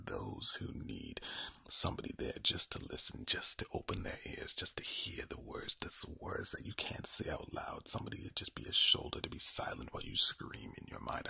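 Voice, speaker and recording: Man's low, raspy ASMR whisper. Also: pushed and bright, dry recording. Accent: Irish. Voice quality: low, breathy, gravelly whisper. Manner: slow, deliberate, repeats words and phrases. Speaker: ASMR creator. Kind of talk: whispered ASMR, soothing affirmations.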